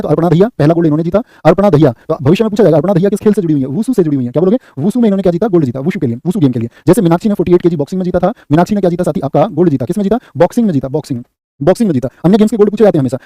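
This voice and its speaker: Male coach, high voice, very fast repeating quiz delivery. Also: mellow, no room sound, studio quality. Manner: rapid, repeats answers and asks "what will you say?". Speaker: exam coaching teacher. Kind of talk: live online class, quizzing students.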